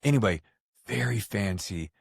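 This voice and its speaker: An American man hosting a podcast, chatty and animated, with a jokey, conversational way of talking. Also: on the low side, moderate pace, vocal fry, husky, no echo, clean studio sound. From United States. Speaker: podcast host. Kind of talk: casual, chatty interview with a scripted introduction.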